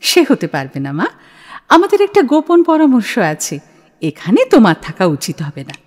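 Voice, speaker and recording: A woman's voice: fairly high pitch, wildly swooping pitch, moderate pace, darkish tone. A woman playing a bold, defiant young daughter in an audio play, pert and insistent. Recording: long hall-like reverb.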